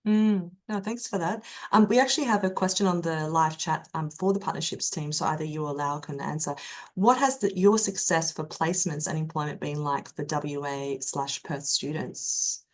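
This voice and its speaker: Deep-voiced woman moderating a session. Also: normal speed. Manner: friendly backchannel, frequent mm-hmms. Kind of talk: moderating an online info session.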